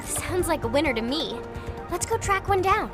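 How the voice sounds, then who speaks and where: Peppy teasing teen girl in a game, high voice, super lively, says what she thinks. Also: moderate pace. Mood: upbeat. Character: bubbly, teasing, outspoken teenage girl teammate. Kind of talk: video game cutscene acting. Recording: music behind the voice.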